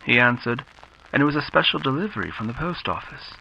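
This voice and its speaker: A man narrating a radio-style thriller from a script, dramatic and deliberate, with pauses for effect. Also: mid-range voice, lively intonation, vocal fry. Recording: slightly dull, faint background noise.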